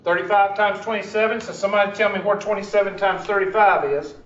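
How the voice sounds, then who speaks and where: A man's voice: high voice, steady tone, fast talker, a bit bright, slight background noise. A man teaching a math class, working problems aloud and questioning students in a classroom. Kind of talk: classroom lesson. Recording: echoey classroom, dull far-off sound.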